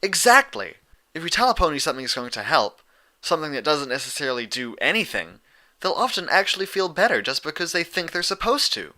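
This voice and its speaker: Man playing an eager studious female pony, slightly raised pitch, very bright, explanatory, storybook character. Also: a little fast. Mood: excited. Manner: explanatory, excitable, slightly awkward.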